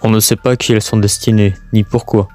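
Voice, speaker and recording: A man reading a scripted documentary narration as presenter, in a halting way with stumbles. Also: lowish voice, flat delivery, a little mellow. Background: music.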